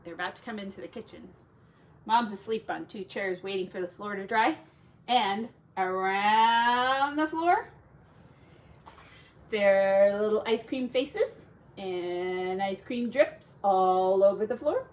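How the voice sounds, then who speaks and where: A woman's voice: medium pitch, takes it slowly. A woman who teaches, reading a picture book aloud to children expressively, drawing out key words for drama and adding casual asides.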